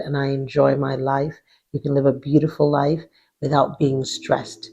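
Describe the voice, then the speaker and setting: Husky middle-aged woman coach. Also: low-pitched, dark tone, muffled recording. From Nigeria. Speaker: executive life coach. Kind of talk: motivational advice talk to camera.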